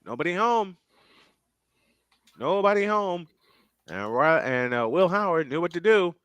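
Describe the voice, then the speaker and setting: A man doing live play-by-play commentary on a football game, surging in pitch and volume on big plays and often repeating phrases. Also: big pitch swings, measured pace, fairly bright tone, clean tone, dry recording. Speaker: play-by-play announcer.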